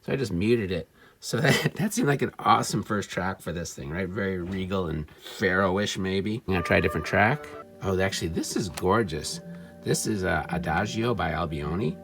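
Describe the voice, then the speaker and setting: Low-voiced man with creaky fry, wry hands-on demonstrator, unscripted and excitable, clear music behind him. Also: moderate pace. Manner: wry, excitable, talking to himself while tinkering. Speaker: vintage electronics seller demonstrating a device. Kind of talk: casual off-the-cuff product demo. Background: music from the player being tested.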